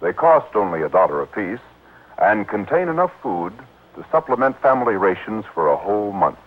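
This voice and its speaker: Deep, animated vintage radio announcer man. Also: normal speed. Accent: American. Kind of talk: scripted 1950s radio charity appeal. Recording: narrow-band old radio recording.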